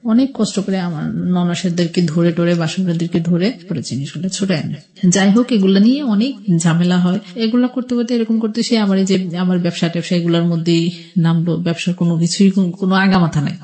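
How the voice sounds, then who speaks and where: A woman's voice: lowish voice, brisk, warm tone. A middle-aged woman with a Bangladeshi accent telling her life story as a radio interview guest, conversational, repeating and rephrasing as she goes.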